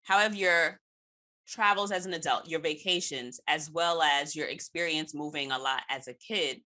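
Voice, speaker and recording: Low, bright-voiced woman interviewing. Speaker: podcast host, interviewer. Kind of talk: casual conversational interview.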